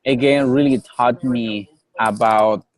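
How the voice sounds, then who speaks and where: A man's voice: medium pitch, steady tone, takes it slowly. A young man from Colombia whose first language is Nasa, speaking as a guest in an earnest way and often repeating words as he searches for them. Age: young adult. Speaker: guest, coffee business owner. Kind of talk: casual interview.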